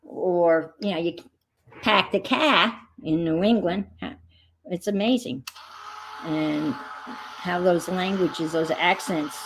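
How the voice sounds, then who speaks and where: A woman's voice: on the low side, slow pace, noisy background. A middle-aged woman with a Long Island New York accent, chatting in a rambling way to herself and her viewers while she paints. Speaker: craft vlogger. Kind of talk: casual chat while painting.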